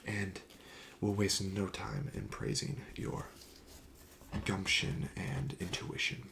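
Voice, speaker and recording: A man with a lowish, gravelly voice speaks very softly, slipping toward a whisper as he plays an attentive shop clerk in ASMR style. His delivery is slow, gentle and courteous. The close recording carries clear background noise. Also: big pitch swings. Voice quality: soft, breathy, often near whisper. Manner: gentle, attentive, polite salesman patter. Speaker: ASMR roleplayer playing a card shop clerk. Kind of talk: soft-spoken ASMR shop roleplay.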